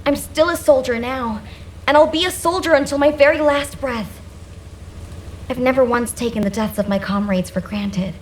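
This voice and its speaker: A woman playing an earnest veteran soldier in a sci-fi game, steady and sincere. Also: high voice, normal speed, slight background noise, close to an everyday voice. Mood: firm, sincere.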